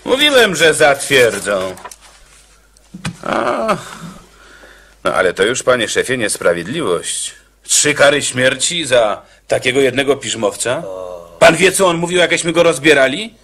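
An actor in a radio play playing a cocky condemned prisoner who chats wryly and casually about death. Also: male voice, mid-range voice, very animated, measured pace, a bit bright. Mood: chatty, cheeky. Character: cocky, cheerful condemned male prisoner, a killer.

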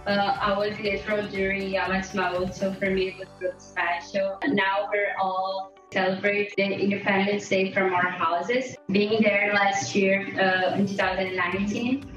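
A woman's voice: medium pitch, level tone, moderate pace, hardly any pauses, fairly bright tone. Young Brazilian woman, echoey kitchen, music behind. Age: young adult. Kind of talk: personal talk to camera. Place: home kitchen, on video call. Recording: echoey room, music over voice.

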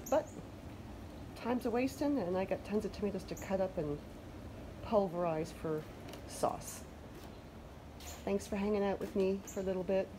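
A Canadian woman with a somewhat low voice chatters easily about her garden haul, filling her talk with little asides and self-mocking remarks. She records outside on a covered deck, and a steady background hiss sits under her voice. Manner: chatty, self-deprecating, loose fillers. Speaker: vlogger. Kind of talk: casual garden vlog chat. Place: covered wooden deck outdoors.

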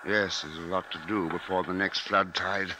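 An actor playing a grave, watchful husband besieged in his house, speaking in measured, dramatic phrases in a 1950s radio drama. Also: low-pitched, steady tone, bright, forceful tone. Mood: anxious, restrained. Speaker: narrator and lead actor. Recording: noisy 1950s radio broadcast recording.

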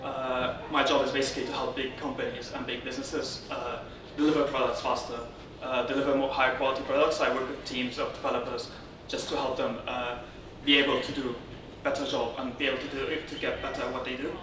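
A man from Syria giving an informal technical conference talk as a speaker, often halting and rephrasing as he goes. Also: medium pitch, level tone. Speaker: conference speaker, software consultant. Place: indoor darkened conference room, on stage beside a lectern. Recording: roomy, distant, noisy room sound.

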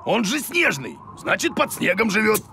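Wildly swooping, grumbly cartoon creature. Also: male voice, sits high, wildly swooping pitch, moderate pace, pushed and bright, a little hiss or hum, heavily acted. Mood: excited, eager. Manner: theatrical, hesitating, exclaiming and grumbling. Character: excitable, grumbly, bumbling male cartoon creature, comic guide to children. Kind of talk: children's educational cartoon acting.

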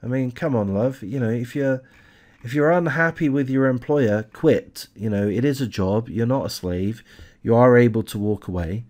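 A man's voice: medium pitch, moderate pace, a little mellow. A man hosting a true crime channel, casually reading a news article aloud with lots of hesitant fillers and restarts, and giving blunt opinions.

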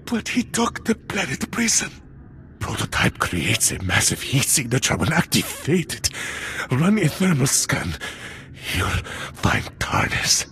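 Wounded man, breathless, strained and creaky, pained, regretful, video game acting. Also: mid-range voice, huge pitch swings, takes it slowly, bright, forceful tone, slight background noise, heavily acted. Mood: fading, urgent. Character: mortally wounded, remorseful male technician gasping out warnings.

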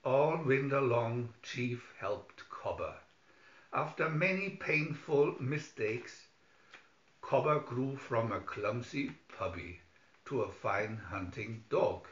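Guy reading a kids storybook out loud, unhurried, roomy muffled recording. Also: mid-range voice, lively intonation. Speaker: storybook narrator. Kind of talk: scripted children's story reading. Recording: dull lo-fi recording, roomy echo.

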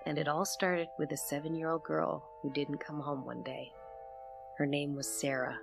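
A woman acting as an investigator who narrates a mysterious case in a measured first-person audio drama. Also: deep voice, flat delivery, creaky, over music. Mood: measured, reflective.

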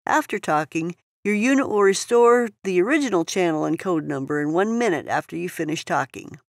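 A woman's voice: on the low side, animated, brisk, no room sound, studio quality. A woman reading scripted instructional narration for a product tutorial.

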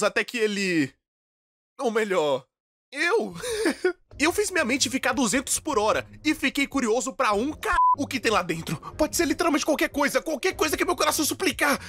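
Animated young Brazilian man telling stories. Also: very high-pitched. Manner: exaggerated, expressive delivery. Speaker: dubber narrating an animated story. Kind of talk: scripted comedic storytelling narration.

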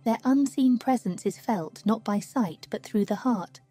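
A woman reading a scripted guided sleep meditation in a slow, soothing, hushed manner. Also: a little high. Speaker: meditation narrator. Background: music.

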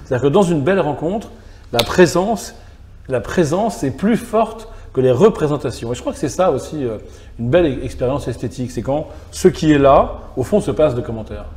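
Thoughtful middle-aged philosopher, mellow voice. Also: male voice, fairly high pitch, animated, moderate pace, slight background noise. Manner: thoughtful, builds ideas in long clauses. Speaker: philosopher and author, guest. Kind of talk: reflective conversational interview. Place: small gallery salon, indoors, on a sofa.

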